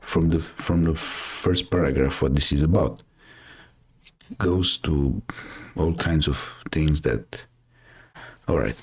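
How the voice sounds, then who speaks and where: A man's voice: rumbling low, slow pace, pauses often, slightly rough. A man from Romania with a Romanian accent, hosting a live show and chatting casually through the news, thinking aloud with many fillers and restarts. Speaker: host.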